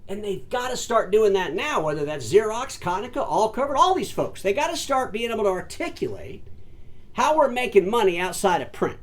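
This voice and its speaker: Emphatic high-voiced man talking shop. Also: sing-song, fairly quick. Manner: emphatic, addresses audience as folks and ladies and gentlemen; repeats words and restarts often. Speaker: host of an industry commentary show. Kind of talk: solo commentary to camera.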